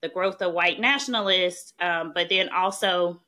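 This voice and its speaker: A woman with a strong Southern accent speaks in a lowish voice with a forceful, bright edge. She is a chatty podcast guest who tells her career story in a conversational way, dotted with "um" and "right?". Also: a little slow. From Mississippi, US. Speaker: podcast guest, policy expert. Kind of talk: conversational interview.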